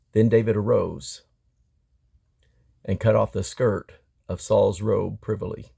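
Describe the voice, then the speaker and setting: A man's voice: lowish voice, creaky, dark tone, slightly rough. A man reading the Bible aloud dramatically, with emphatic delivery of archaic phrasing. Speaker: scripture reader.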